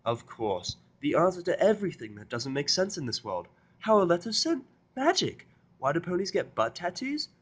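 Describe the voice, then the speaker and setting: A man's voice: high voice, big pitch swings, moderate pace, hardly any pauses, voice pushed up. A stubborn, irritable human guy played by a man with a dry, sarcastic streak. He swears freely and sounds like an everyday man in a fantasy audio story. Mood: mocking, exasperated.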